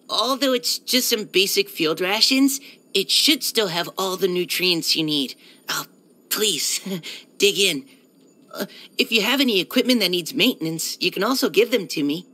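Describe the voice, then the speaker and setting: Shy young army scout guy from a video game, bright crisp voice, super polite n eager to help. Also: medium pitch, expressive, unhurried. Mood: polite, helpful. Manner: hesitant, soft-spoken politeness, eager to help. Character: polite, timid, earnest young male military surveyor. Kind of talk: video game character voice-over.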